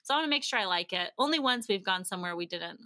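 A woman's voice: mid-range voice, fast, no echo, studio quality. A middle-aged woman telling stories in a casual, chatty interview style, as a podcast guest. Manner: chatty, animated retelling of exchanges in quoted dialogue. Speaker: podcast guest sharing family travel experience. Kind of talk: casual conversational storytelling.

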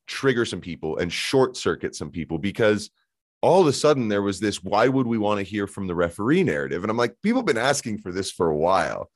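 Low-voiced joking man hosting a podcast. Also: fast, no room sound, studio quality. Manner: animated, joking, trailing restarts. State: hoarse, voice shot in intro. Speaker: podcast host. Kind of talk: casual football podcast chat.

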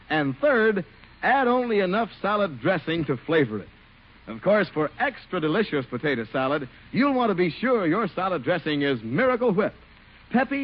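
A man's voice: high-pitched, slightly rough. A man doing polished, emphatic scripted announcing and advertising in 1950s radio style. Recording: muffled old radio broadcast. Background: music.

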